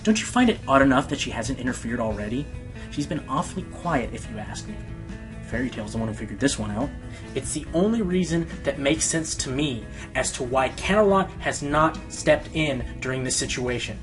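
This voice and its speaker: A man's voice: medium pitch, expressive, brisk, lightly acted. Over background music comes a man acting a male pony radio host in an audio drama. His mid-range voice is earnest and self-deprecating, with a defensive, passionate temper. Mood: firm, defiant. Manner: earnest, hesitant, turning indignant. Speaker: radio show host being interviewed. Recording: music behind the voice.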